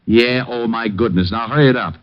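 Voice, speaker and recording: An actor playing a hard-boiled, skeptical private eye with a wry, slangy delivery in an old radio noir drama. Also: male voice, medium pitch, brisk, slight background noise, lightly acted. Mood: wisecracking, urgent. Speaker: private detective lead and narrator. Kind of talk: 1940s radio noir acting and narration. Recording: dull vintage radio recording.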